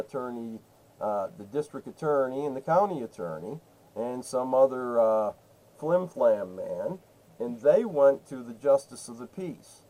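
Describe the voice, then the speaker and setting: A man speaks as a caller pressing a complaint with an official's office. His voice is mid-pitched and mellow, with a soft, dark tone. He is emphatic and insistent, repeating himself as he lays out his accusations. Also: rough recording. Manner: emphatic, repetitive, interrupting. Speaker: caller to a state senator's office.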